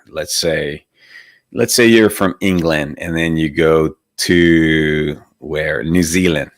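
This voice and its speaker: Male meditation host, very low pitch, dry sound, reflective teaching tone. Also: no room sound. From Venezuela. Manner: reflective, asks rhetorical questions, often adds "right?". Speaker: meditation teacher hosting a live stream. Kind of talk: conversational spiritual teaching.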